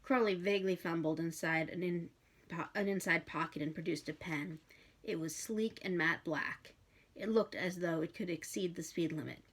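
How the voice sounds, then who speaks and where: A woman narrating fiction aloud in an expressive storytelling style. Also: on the low side, moderate pace, husky. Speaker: bookshop reader.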